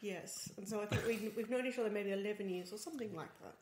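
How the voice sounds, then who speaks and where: An Australian woman joins a casual home podcast as co-host. She talks softly at a middling pitch with some hesitation, and since she sits to one side of the single microphone, her voice comes through with a roomy echo. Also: quick pace. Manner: soft-spoken, quiet, hesitant with ums. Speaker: co-host, tech journalist. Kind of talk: casual chat. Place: home apartment. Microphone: sharing one mic, sitting off to the side. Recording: roomy, quiet voice off-mic.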